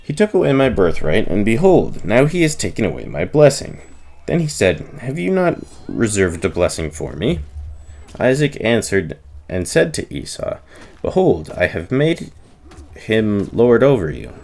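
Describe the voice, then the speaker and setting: A man reading the Bible aloud from the text, giving the dialogue expressive emphasis in his own voice. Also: on the low side, unhurried. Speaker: reader.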